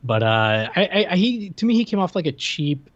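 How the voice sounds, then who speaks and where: A man's voice: sits high, big pitch swings, muffled recording. A man co-hosting a podcast in casual chat, talking hesitantly and breaking off mid-sentence. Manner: hesitant, halting, self-interrupting. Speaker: podcast co-host.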